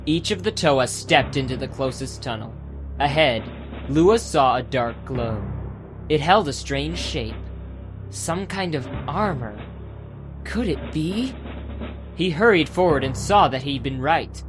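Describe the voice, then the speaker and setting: A man's voice: fairly high pitch, animated, a little slow. Male audiobook narrator over prominent music. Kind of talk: scripted dramatic audiobook narration.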